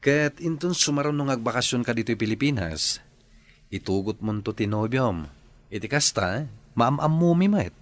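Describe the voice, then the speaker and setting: Actor as good-natured young Ilocano younger brother. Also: male voice, medium pitch, lively intonation, moderate pace, natural voice. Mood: bashful, friendly. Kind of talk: Ilocano radio drama acting.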